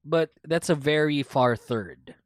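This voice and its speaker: A woman's voice: low voice, unhurried, dry recording, studio quality. A woman in her late twenties with a Filipino accent, hosting a casual podcast chat and rambling with many fillers and restarts. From Philippines. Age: 20s. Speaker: podcast host.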